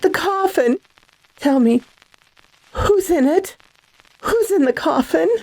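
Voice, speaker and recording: A woman acts a fragile, bewildered lady from a classic radio thriller. Her high voice is soft-toned and melodramatic, with hesitant, unhurried speech, recorded close and dry. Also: expressive, no echo, exaggerated voice, poor audio. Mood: shocked. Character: frightened, bewildered, tormented lady, pleading and fragile. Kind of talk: classic radio drama acting.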